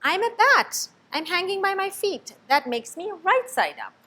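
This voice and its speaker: A woman doing a loud bossy male bat. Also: very high-pitched, big pitch swings, measured pace, voice pushed up, exaggerated voice. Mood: insistent. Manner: loud and insistent. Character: loud, blunt male bat, know-it-all.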